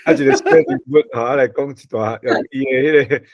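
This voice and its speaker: A man with a Taiwanese accent giving casual feedback, somewhat halting, with frequent tag particles. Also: mid-range voice, steady tone, no echo. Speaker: forum participant. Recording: dull lo-fi online call audio.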